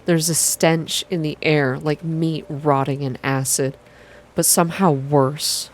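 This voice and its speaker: A woman reading a story aloud in a calm, slow, hushed ASMR style. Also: deep voice, a little hiss or hum. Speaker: reader of a visual novel for an online channel.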